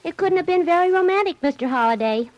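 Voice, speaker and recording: An American actress in 1940s radio style, playing a perky, scatterbrained young secretary. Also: female voice, very high voice, expressive, quick pace, dull audio, faint music behind. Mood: dreamy teasing. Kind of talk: 1940s radio comedy-drama acting. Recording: vintage radio drama recording.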